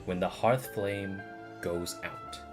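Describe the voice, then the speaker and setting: A man's voice: low-pitched, fairly even intonation, slow, loud music behind the voice. A man streaming a video game with casual, slangy, sweary reactions and muttered asides. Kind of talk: casual reacting commentary over gameplay.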